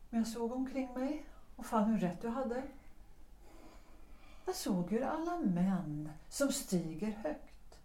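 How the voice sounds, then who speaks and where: A woman's voice: mid-range voice, warm tone, close to an everyday voice. A woman playing a disillusioned wife in an audio drama, speaking earnestly and often dropping to a whisper. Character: disillusioned wife who rejects an old lover. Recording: roomy echo.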